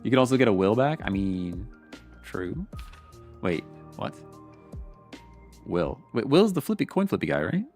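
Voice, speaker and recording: A man with a stuffy, congested voice streams his commentary, reading card text aloud and then giving his blunt, wry take on it. His voice sits a little low. Faint music plays in the background. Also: very animated, unhurried, pauses often, darkish tone. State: congested, sniffling. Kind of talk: casual pack-opening commentary.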